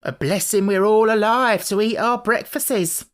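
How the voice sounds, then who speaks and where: A woman's voice: medium pitch, unhurried, pitched above the performer's own voice, natural voice. A woman voicing a gossipy, darkly self-important working-class housemaid in a storybook. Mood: ominous gossip. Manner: dramatic, rustic turns of phrase.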